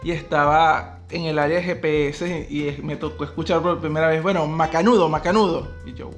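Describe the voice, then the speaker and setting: Venezuelan man, fairly high pitch, casual explainer, faint music behind. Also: fairly even intonation, a little fast. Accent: Venezuelan Spanish. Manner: explaining, asks questions. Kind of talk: casual chat.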